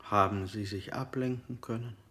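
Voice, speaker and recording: An actor playing a calm male interrogator in a 1960s radio play. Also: on the low side, moderate pace, noisy background, lightly acted. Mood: probing. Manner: calm, probing questions. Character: cold male interrogator, middle-aged.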